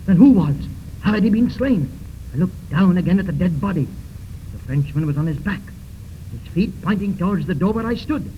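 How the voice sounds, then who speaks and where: High-voiced 1930s radio detective. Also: male voice, expressive, moderate pace. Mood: brooding, deliberate. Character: tense, resolute male detective recounting his case. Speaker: narrator and lead actor of a radio mystery serial. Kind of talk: 1930s radio drama first-person narration. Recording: muffled, noisy 1930s radio recording.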